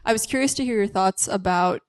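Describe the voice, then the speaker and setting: A young woman student politely asking a question from the audience, a little halting. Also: mid-range voice, dry recording. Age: young adult.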